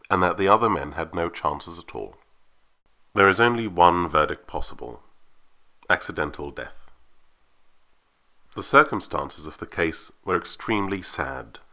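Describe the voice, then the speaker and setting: A man's voice: deep voice, bright, forceful tone. A man narrating, reading a formal historical report from a script.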